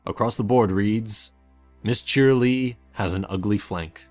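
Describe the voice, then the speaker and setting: A man with a low voice reads a story as its narrator. He delivers scripted second-person prose, and soft music plays behind him. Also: unhurried. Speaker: fan fiction narrator.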